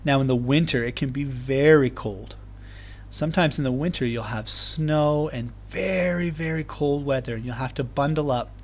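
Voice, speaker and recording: A man reading aloud to young children as a teacher, explaining things in clear, simple terms. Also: medium pitch, normal speed. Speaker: primary school teacher. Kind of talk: children's read-aloud lesson.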